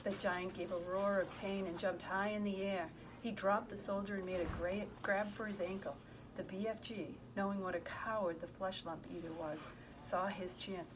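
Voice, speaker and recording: A woman's voice: medium pitch, steady tone, moderate pace, background noise. A woman reading a children's book aloud as the narrator. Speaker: volunteer story reader.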